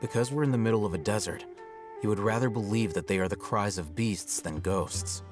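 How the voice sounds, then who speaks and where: An actor playing a calm, reserved young male scholar in a video game, measured and matter-of-fact, over game music. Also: fairly low pitch, lightly acted. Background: game background music.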